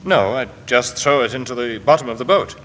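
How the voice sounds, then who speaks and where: A man's voice: mid-range voice, lively intonation, a little fast, a bit bright, close to an everyday voice. A man acting a defensive, sarcastic bachelor being questioned, in a theatrical old-time radio style. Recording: old radio, hissy echoing hall.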